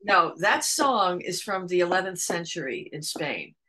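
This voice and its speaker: A middle-aged woman singer-songwriter, a guest telling stories in a casual video-call interview, animated with a deep voice. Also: a little slow. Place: home room with red armchair.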